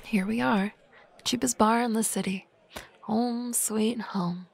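A woman voicing a sardonic, teasing office-lady boss in an ASMR roleplay, with dry banter and soft whispery asides on a close mic. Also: on the high side, fairly even intonation, slow pace, creaky, husky, full character voice.

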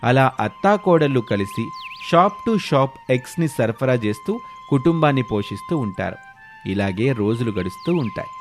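Guy narrating a scripted folk-style moral story, medium pitch, light music under him.

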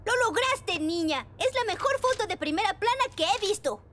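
A woman's voice: very high voice, animated, normal speed. A woman voicing a bossy, exclamatory young boy penguin newspaper chief in a children's cartoon. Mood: pleased. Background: children's show music.

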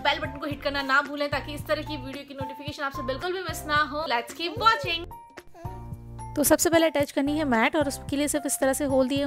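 High-voiced young woman reviewing a baby toy. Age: young adult. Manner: chatty and explanatory, switching between Hindi and English phrases. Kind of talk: casual product review, Hindi mixed with English. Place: small bedroom indoors.

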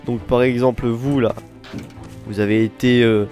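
A man's voice: medium pitch, flat delivery, normal speed, a little mellow, faint music behind. A man with a French accent doing casual gaming commentary and in-character roleplay. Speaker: YouTuber player and narrator.

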